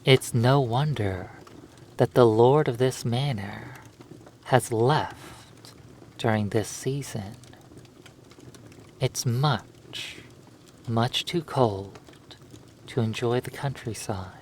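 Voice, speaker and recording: A man narrating a hypnosis roleplay in a soothing, lulling manner, leaving long pauses between phrases. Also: medium pitch, a little mellow, a little hiss or hum.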